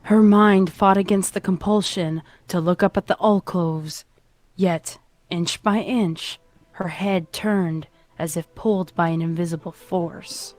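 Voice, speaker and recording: Low-voiced woman narrating horror fiction. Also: steady tone, measured pace, a little mellow. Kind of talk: dramatic scripted horror narration. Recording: music behind the reading.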